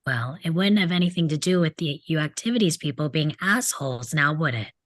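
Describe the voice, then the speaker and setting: Woman playing a low-voiced sarcastic teenage bad boy. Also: expressive, brisk, no echo. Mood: scornful, taunting. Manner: mocking, sardonic. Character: sarcastic rebellious male teenage troublemaker, taunting and cynical. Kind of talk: script table read.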